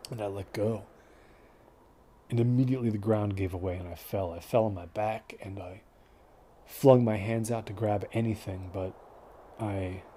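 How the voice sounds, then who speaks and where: An actor playing a dazed, delusional injured man recounting an ordeal in an audio horror drama, halting and detached, with hushed phrases. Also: lowish voice, a little hiss or hum. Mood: strained. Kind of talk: first-person audio horror drama monologue.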